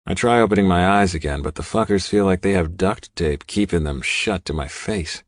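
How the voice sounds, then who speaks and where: Low male voice reading a dramatic audiobook in first person, very dry sound. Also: moderate pace, no room sound. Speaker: audiobook narrator.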